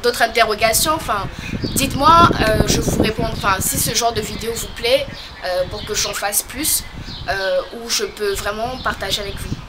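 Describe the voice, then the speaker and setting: A young woman from French Guiana, talking casually to camera as a vlogger, hesitant and full of pauses. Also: a little high, heavy background noise. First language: a local creole or regional language. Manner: hesitant, many pauses and fillers.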